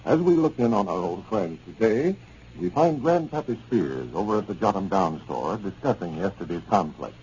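A man's voice: on the low side, lively intonation, normal speed, darkish tone. An American man giving a scripted announcement and sponsor narration as a 1930s radio announcer. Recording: muffled, hissy 1930s radio recording.

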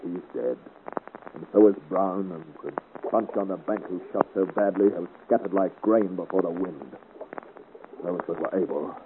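An actor playing a gallant, bold young Breton adventurer in a radio serial, earnest and formally courteous. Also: male voice, low-pitched, normal speed, lightly acted. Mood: grim satisfaction. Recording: noisy, narrow-band 1950 radio serial.

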